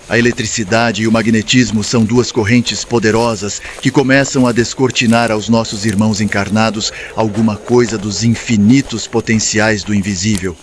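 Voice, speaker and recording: A man playing a wise, calm spirit mentor, lecturing in a formal, measured, didactic way as in an audiobook. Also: mid-range voice, slight background noise, close to an everyday voice. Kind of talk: scripted audiobook dialogue, lecturing.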